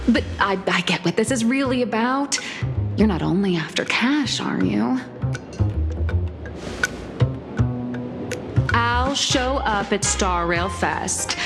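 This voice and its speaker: A woman's voice: medium pitch, expressive, measured pace. An actress playing a sly, boastful young female comic artist in a video game, glib and playfully mock-formal. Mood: cornered, evasive. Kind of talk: animated game voice acting. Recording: reverberant studio voice over game music.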